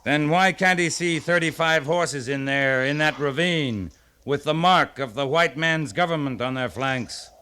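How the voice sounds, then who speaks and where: An actor playing a stern, shrewd US cavalry captain with a commanding, measured manner, in a 1950s radio western. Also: male voice, on the high side, pushed and bright, clean tone. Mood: cool, challenging. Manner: commanding, measured, pointed questioning.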